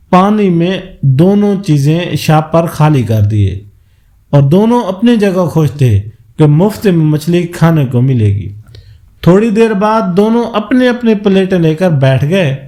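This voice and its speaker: A man's voice: on the high side, warm tone. A man narrating a scripted moral folk tale as a storyteller.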